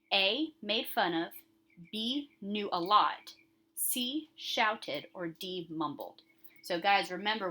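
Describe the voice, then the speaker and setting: A woman's voice: medium pitch, a bit bright. A woman with a mid-range voice teaches young pupils. She reads questions and answer options aloud, stressing the important words. She talks straight to the children in an instructive way, guiding them through each item. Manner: instructive, addresses children directly, emphasizes key words. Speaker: elementary school teacher.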